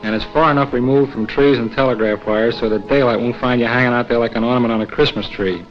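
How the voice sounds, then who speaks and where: A man plays a confident American wartime pilot giving a briefing. He talks informally in a medium-pitched voice. The recording is a dull old film track with music underneath. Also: fairly even intonation, fast talker, close to an everyday voice. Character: confident, plain-talking male military pilot briefing an agent. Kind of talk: 1940s training-film acting. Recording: dull 1940s film soundtrack, music behind.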